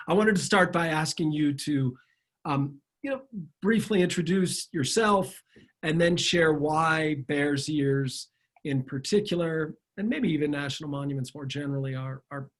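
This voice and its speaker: A man moderating a panel over a video call, speaking casually with halting pauses. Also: mid-range voice. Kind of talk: webinar moderation.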